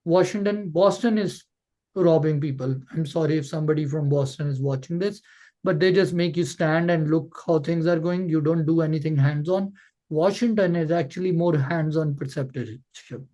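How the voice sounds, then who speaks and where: A man's voice: on the high side, normal speed, mellow. A man coaching callers in an informal online Q&A, blunt and emphatic, often repeating words to drive a point home. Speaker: coach hosting a Q&A session.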